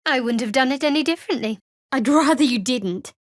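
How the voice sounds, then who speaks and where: Guarded, higher-voiced woman cleric, close and dry. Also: animated, fairly quick, dry recording. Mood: dry, sardonic. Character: guarded, devout, dryly sardonic young woman cleric adventurer. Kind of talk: video game voice acting.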